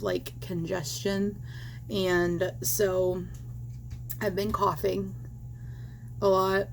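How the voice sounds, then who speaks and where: Woman, slightly hoarse, rambling casual crochet chat, home recording, faint hum. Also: mid-range voice, a little mellow. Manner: rambling and chatty, with many fillers and little laughs. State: slightly hoarse, losing her voice. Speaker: crochet vlogger.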